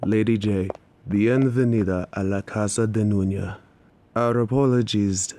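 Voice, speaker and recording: Man acting mafia household attendant, dark mellow tone, lowish pitch, courteous, formal, deferential, audio drama. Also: fairly even intonation, unhurried, slight background noise, lightly acted. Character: courteous male mafia servant greeting guests formally. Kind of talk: scripted audio roleplay acting.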